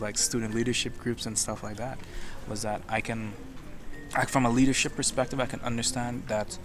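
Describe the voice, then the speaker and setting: A man from the West Indies giving a thoughtful street-interview opinion, restarting phrases as he builds his argument. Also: medium pitch. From West Indies, Caribbean. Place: outdoors in a city. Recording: outdoors with clear background noise.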